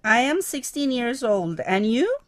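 A woman's voice: on the high side, sing-song, measured pace, no echo. Teen schoolgirl, acted by a woman, chirpy textbook dialogue. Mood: cheerful. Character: cheerful teenage schoolgirl, sixteen.

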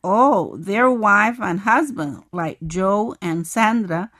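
A woman's voice: mid-range voice, slow pace, a bit bright, no room sound, studio quality. A woman, playing the curious student in a scripted English lesson. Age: 40s. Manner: curious, asking questions. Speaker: co-host acting as student.

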